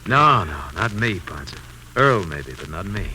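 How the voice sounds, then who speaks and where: A man's voice: low voice, big pitch swings, measured pace, pushed and bright, slight background noise, heavily acted, poor audio. An actor playing a cruel, taunting cornered outlaw gunman in a 1950s radio Western, with an American accent and folksy cowboy grammar. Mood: self-justifying. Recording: old radio broadcast, faint music.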